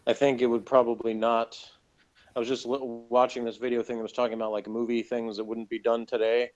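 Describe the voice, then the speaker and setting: A man with a medium-pitched voice talks casually, as in a review discussion. He argues in a halting way, often rewording himself. The recording is dull and lo-fi. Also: flat delivery. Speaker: podcast co-host reviewing TV episodes. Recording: dull lo-fi audio.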